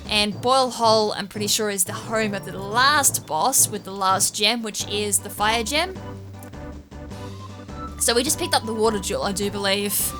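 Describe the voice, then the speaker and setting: A woman's voice: mid-range voice, moderate pace. A woman giving casual, rambling video game walkthrough commentary, thinking aloud with an occasional stutter. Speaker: gaming YouTuber, series host. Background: game music.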